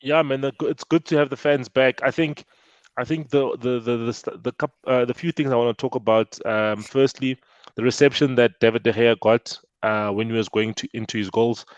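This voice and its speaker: A man's voice: mid-range voice, normal speed, no room sound, muffled recording. A man co-hosting a casual football show, talking with many fillers and restarts. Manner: hesitant, many fillers and restarts, excitable jokes. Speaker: co-host.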